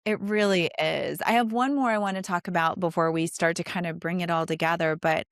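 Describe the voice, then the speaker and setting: Female podcast host, lower register, earnest and emphatic, close dry sound. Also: fairly even intonation, fairly quick, no room sound. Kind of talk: conversational interview.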